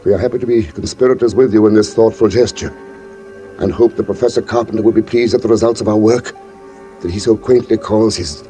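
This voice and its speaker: Guy acting smooth sly professor in an old radio thriller, low mellow voice, measured and polite, hiding something dark. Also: steady tone, close to an everyday voice. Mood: controlled unease. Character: sly, outwardly genial professor hiding a wife's murder. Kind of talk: 1940s radio drama acting with first-person narration. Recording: vintage radio recording, faint music.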